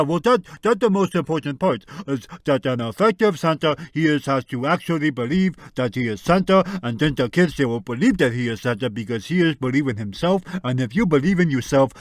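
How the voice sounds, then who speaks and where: A man playing an earnest, muddled mall Santa in a comedy radio skit, halting and rambling with garbled grammar. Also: medium pitch, lively intonation, no room sound, studio quality, natural voice.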